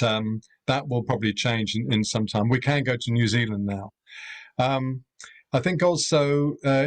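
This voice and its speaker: A middle-aged man from Europe who runs a rural guesthouse, speaking on a panel in a measured, hesitant way with many ums. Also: medium pitch. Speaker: rural accommodation owner. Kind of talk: webinar panel talk.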